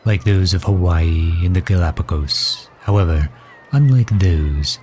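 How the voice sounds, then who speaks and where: A man playing a courtly, overprotective villain who fusses gently and formally in an ASMR roleplay drama. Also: deep voice, a little slow, slight background noise. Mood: proud, expansive. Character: doting, overprotective male villain caring for injured hero.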